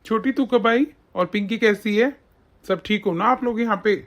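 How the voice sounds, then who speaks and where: A woman voicing a mild-mannered grown son and husband in a family skit, with a husky lowered voice. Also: moderate pace, close to an everyday voice. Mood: easygoing.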